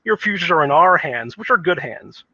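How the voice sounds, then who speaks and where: A man playing a haughty authoritarian leader from a video game, condescending and deliberate, recorded with dull lo-fi sound. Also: on the high side, expressive, no echo, pitched above the performer's own voice. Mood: contemptuous.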